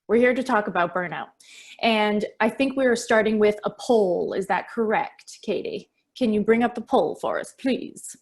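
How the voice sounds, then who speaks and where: Woman presenter on a video call, mid-pitched, chatty and upbeat, talking straight to her audience. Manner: chatty and enthusiastic, addresses the audience directly. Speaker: burnout speaker presenting a webinar. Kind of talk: lively webinar talk to remote attendees. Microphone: computer mic on a video call.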